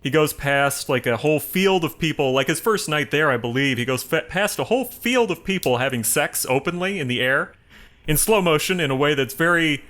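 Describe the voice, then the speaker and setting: A man co-hosting a casual film discussion, explaining things in a halting, rambling way. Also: on the high side, expressive, a bit bright. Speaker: podcast co-host giving a film rundown.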